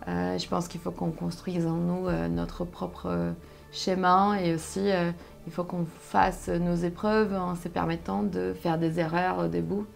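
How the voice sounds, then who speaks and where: A young woman from Brazil, a native Portuguese speaker with a Brazilian accent, telling her story conversationally and haltingly as she searches for words. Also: on the low side, flat delivery, gravelly, soft background music. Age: young adult. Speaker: interview guest, solo sailor.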